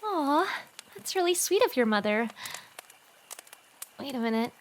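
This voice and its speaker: A woman playing a caring, teasing teenage girl with an expressive, friendly manner in a fan audio drama. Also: sits high, measured pace, a little hiss or hum.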